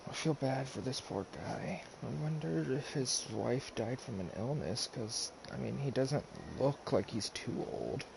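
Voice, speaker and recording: A man's voice: mid-range voice, measured pace, gravelly, hardly any pauses. A man chatting and musing aloud in casual live game commentary, on a noisy stream.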